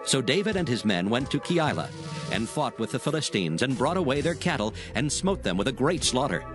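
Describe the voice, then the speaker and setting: Expressive male scripture narrator over music. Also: medium pitch, a little fast. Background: music bed.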